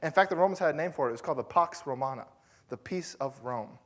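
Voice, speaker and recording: A male pastor preaching with a fairly high voice. He talks loosely and conversationally, full of fillers and quick asides to the congregation. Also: expressive, a bit bright. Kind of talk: sermon.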